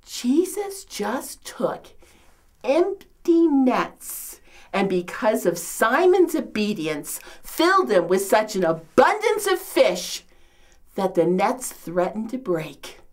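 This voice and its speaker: A woman's voice: fairly high pitch, very animated, slow pace. A woman playing a complaining, martyred old mother-in-law from biblical times in a comedic monologue, with a New York accent and theatrical delivery. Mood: earnest, reverent. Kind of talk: comedic dramatic monologue, performed.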